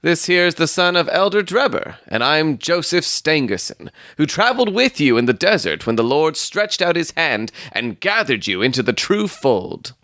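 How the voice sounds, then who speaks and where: A man acts out a self-righteous young suitor from a storybook. The voice sits high and is full of pompous, pious entitlement. The sound is dry, with no room on it. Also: sing-song, normal speed, hardly any pauses, a bit bright, dry recording. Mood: smug. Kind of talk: literary audio story reading.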